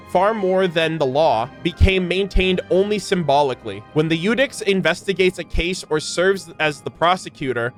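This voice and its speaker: Young man, high voice, game streamer, playful slangy delivery, reading and reacting, light background music. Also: fairly bright tone. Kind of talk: reading aloud with casual reaction commentary.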